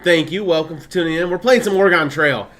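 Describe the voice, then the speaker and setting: Male streamer, 30s, blended Midwestern/Southern/Californian accent, slightly high pitch, chatty and laughing. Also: fast, fairly bright tone. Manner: chatty, laughs often, reads game text aloud. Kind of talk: casual gameplay stream commentary.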